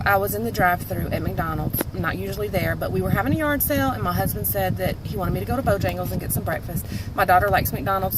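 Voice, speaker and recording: A woman's voice: mid-range voice, heavy background noise. A woman in her late thirties from North Carolina with a Southern accent, telling a story in a chatty, emphatic way with lots of "like" fillers. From North Carolina, USA. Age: 30s. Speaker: vlog host. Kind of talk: casual storytelling monologue.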